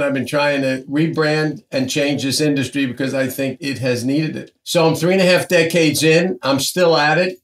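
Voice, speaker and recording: Roomy distant-sounding man dentist guest. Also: on the high side. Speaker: guest, prosthodontist. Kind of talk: podcast interview.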